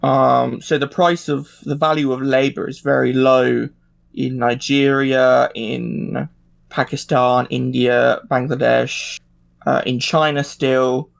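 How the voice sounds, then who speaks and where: A man's voice: mid-range voice, level tone, slow, creaky. A man chatting casually as a podcast panelist, hesitant and thinking aloud as he goes. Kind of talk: casual discussion.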